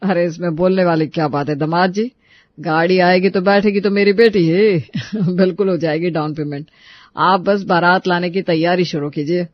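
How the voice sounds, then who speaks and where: A man's voice: high-pitched, steady tone, moderate pace, no echo, muffled recording, natural voice. A man playing an eager, accommodating middle-aged father of the bride in a story drama.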